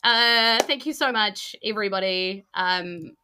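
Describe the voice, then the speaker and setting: A woman's voice: medium pitch, a little slow, sharp tone, a little hiss or hum. A woman with a New Zealand accent, chatting casually as a podcast host and game master in a bubbly, rambling, giggly way. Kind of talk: casual unscripted sign-off chat.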